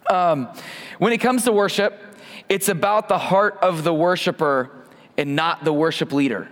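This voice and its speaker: A man's voice: a little high, normal speed, a bit bright, big-room sound. A man in his thirties giving an informal sermon and prayer with a mic on, casual and self-deprecating, often restarting his sentences. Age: 30s. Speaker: preacher. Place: church hall. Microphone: wearing a microphone.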